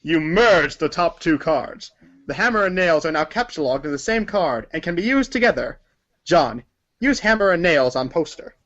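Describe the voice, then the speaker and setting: Theatrical, higher-pitched man narrating a storybook. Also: expressive, normal speed, a bit bright, muffled recording. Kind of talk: scripted second-person storybook narration, read-along.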